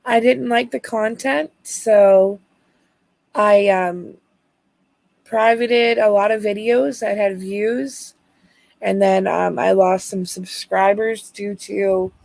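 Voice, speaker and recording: Raspy American woman co-hosting a livestream, slow and halting, gravelly with a dry close sound. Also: medium pitch, steady tone, darkish tone, dry recording. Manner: hesitant, many ums. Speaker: livestream co-host. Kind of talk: casual livestream chat.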